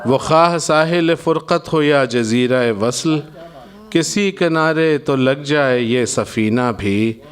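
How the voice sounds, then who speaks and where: A male poet recites ghazals before a literary gathering at a very unhurried pace, in a mid-range voice. His delivery is measured and declamatory, and he returns to lines to give them emphasis. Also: medium pitch. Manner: measured declamation, repeating each line for emphasis. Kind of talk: live Urdu ghazal recitation at a poetry gathering.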